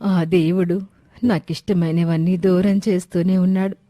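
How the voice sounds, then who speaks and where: A woman's voice: fairly low pitch, little pitch movement, normal speed, warm tone, dry recording, studio quality, natural voice. A woman voicing a sorrowful, weary and bitter bereft woman in a moral story. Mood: bitter grief. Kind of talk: Telugu moral story character acting.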